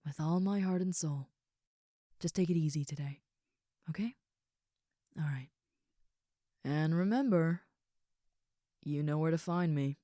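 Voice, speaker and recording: Woman doing a sweet caring ogre girl roommate, real mellow low voice, rambly n reassuring, intimate roleplay. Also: unhurried, pauses often, no echo, clean studio sound. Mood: gentle, reassuring. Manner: soft, sincere, rambling, reassuring. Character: caring, affectionate young female ogre roommate. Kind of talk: intimate comfort roleplay audio.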